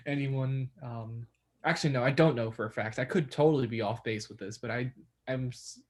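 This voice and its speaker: A man's voice: medium pitch, normal speed, breathy edge. A young man from New York co-hosting a podcast, talking casually with many fillers and restarts. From New York, USA. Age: young adult. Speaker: podcast co-host. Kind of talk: casual chat.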